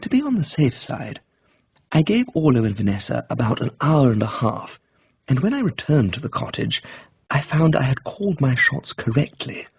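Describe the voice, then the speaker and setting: A man with an upper-class English accent reading scripted comic narration in character as a genial gentleman. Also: medium pitch, very animated, moderate pace, vocal fry, slightly rough, no room sound, lo-fi sound.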